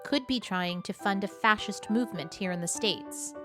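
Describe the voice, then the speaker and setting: A woman playing a stern, no-nonsense spy chief in a comedic audio drama, curt and deadpan. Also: medium pitch, moderate pace, music in the background, natural voice.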